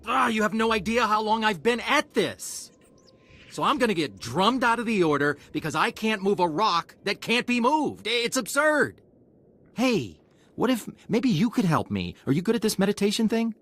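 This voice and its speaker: Whiny, anxious young male trainee for a fantasy game, higher voice, very animated, easily flustered. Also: normal speed, full character voice. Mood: exasperated. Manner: complaining, flustered. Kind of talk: video game dialogue acting.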